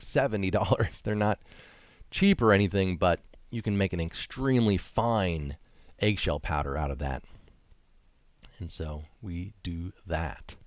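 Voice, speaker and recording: A man's voice: low-pitched, no echo. A man reading an article aloud in an explanatory way, with casual asides of his own. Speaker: reader of articles, adding own comments.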